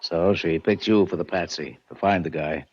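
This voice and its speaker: An actor playing a gruff, skeptical police detective in a 1940s radio drama, matter-of-fact and slangy. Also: male voice, deep voice, moderate pace, darkish tone. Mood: skeptical, mulling. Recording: dull vintage radio recording.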